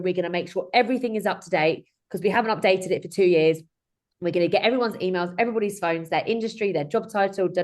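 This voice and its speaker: A woman in her thirties talking informally as a webinar host on a video call, chatty and rambling with frequent self-corrections. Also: on the low side. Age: 30s. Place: indoor room at home or office, on a video call. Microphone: video call microphone.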